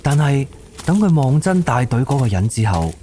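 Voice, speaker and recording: A man's voice: medium pitch, very animated, moderate pace, slight background noise. A man with a Cantonese accent narrating a scripted suspense ghost story for an audio drama. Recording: soft music under the voice. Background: background music.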